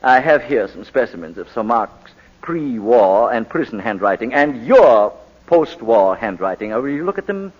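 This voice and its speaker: A man's voice: mid-range voice, expressive, normal speed, lightly acted. Man playing a probing, sardonic barrister, old radio. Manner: polite, needling, hesitant "uh" before barbed questions. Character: probing, sardonic male courtroom barrister, suavely relentless. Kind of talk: 1940s radio acting. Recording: muffled old 1940s radio broadcast.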